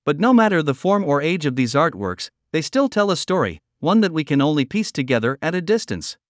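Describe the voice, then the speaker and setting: A man reading a scripted documentary narration in an even, measured way. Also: on the high side, no echo, studio quality.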